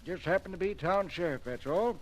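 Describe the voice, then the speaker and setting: A man's voice: high-pitched, animated, fairly quick. A man playing a blunt, nosy small-town sheriff with rustic, folksy talk in an old radio drama. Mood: folksy, welcoming. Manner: rustic drawl, folksy grammar. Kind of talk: old-time radio detective drama acting.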